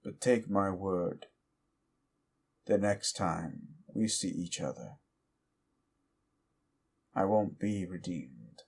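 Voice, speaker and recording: A man voicing a scornful male demon who taunts and degrades the listener in an audio roleplay, speaking slowly and with menace. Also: vocal fry, a little mellow, exaggerated voice. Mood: taunting. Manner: menacing, taunting, slow and low. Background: faint music.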